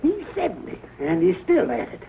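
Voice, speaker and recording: An actor playing a folksy rural farmer with a creaky voice and a drawling Southern accent in a 1940s comedy film. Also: male voice, very high voice, huge pitch swings, normal speed, full character voice. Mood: folksy, chatty. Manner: drawling, folksy country talk. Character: folksy rural old-timer farmer, trading eggs. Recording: tinny, noisy 1940 film soundtrack.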